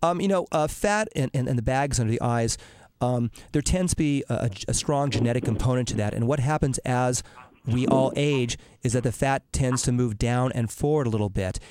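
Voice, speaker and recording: A man with a raspy, hoarse voice from laryngitis, explaining cosmetic treatments as a surgeon on a call-in radio show, with frequent ums and restarts. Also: mid-range voice, a little fast, a little mellow. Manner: explanatory, many fillers and restarts. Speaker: dermatologic surgeon, radio show guest expert.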